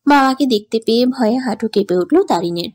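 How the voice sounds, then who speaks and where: Mellow female story narrator, dry sound. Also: fairly high pitch, lively intonation, fairly quick, no echo. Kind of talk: scripted dramatic story narration.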